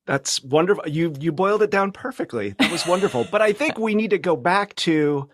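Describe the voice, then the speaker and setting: A middle-aged American man chatting casually as a podcast guest, reminiscing in a halting way. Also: high-pitched, animated, fairly bright tone.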